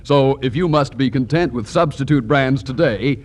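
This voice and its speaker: A man's voice: medium pitch, normal speed. An American man announcing in the polished scripted style of a 1940s radio commercial. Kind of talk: 1940s radio advertising pitch.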